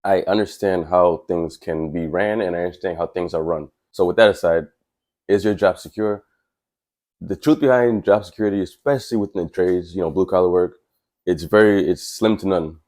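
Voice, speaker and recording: A man's voice: low-pitched, moderate pace, a little mellow. A man who works as a welder, talking casually and frankly to camera, his voice stuffy from a cold. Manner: earnest, plainspoken and frank. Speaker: welder and tradesman vlogger.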